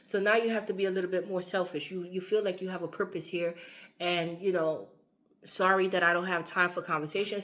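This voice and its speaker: A woman giving a loose, rambling tarot reading, her voice a little strained as she starts to lose it. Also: lowish voice. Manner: loose and repetitive, fillers and asides. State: losing her voice. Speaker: tarot reader.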